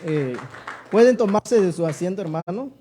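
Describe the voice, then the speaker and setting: Male preacher, southern Mexican accent. High, mellow voice, deliberate delivery of sermon and scripture. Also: expressive, some chatter behind, a little hiss or hum. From Oaxaca, Mexico. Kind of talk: sermon with Bible reading.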